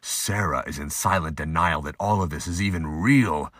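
A man's voice: very deep voice, moderate pace, fairly bright tone, dry recording, clean studio sound. A man giving scripted, audiobook-style narration for an audio drama.

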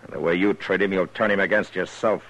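An actor playing a stern, steady American frontier lawman in a 1950s radio western, with a husky voice. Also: sits low, fairly quick, dull audio. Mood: stern warning.

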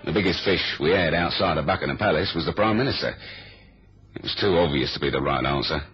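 An actor playing a laconic, wry British secret agent with a London accent in a 1970s radio spy drama. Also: male voice, rumbling low, fast talker, soft background music. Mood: terse, serious. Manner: deadpan, informal. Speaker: actor and first-person narrator. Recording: dull old radio recording.

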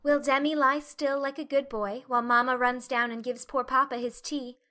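Woman playing a high, bright young mother. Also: steady tone, normal speed. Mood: coaxing. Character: Meg, affectionate, coaxing young wife and mother.

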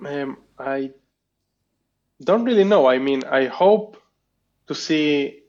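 A man's voice: a little high, lively intonation, takes it slowly, a little mellow, muffled recording. A man from Israel, a guest entrepreneur, chatting casually and thoughtfully with many restarts in an interview.

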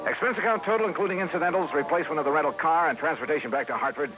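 An actor in a 1950s radio drama playing a tough, sardonic insurance investigator with a clipped delivery. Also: male voice, a little high, racing, lightly acted. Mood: wry, brisk. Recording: tinny 1950s radio recording with music.

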